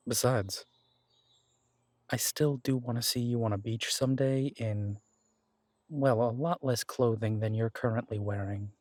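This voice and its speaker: A man playing a steady, protective detective who speaks softly and reassuringly, trying to keep the peace. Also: mid-range voice, slow, pauses often, a little hiss or hum, close to an everyday voice. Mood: tender. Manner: soft and reassuring, pleading, peacemaking. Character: steady, protective male detective, tender with his partner. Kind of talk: audio roleplay drama acting.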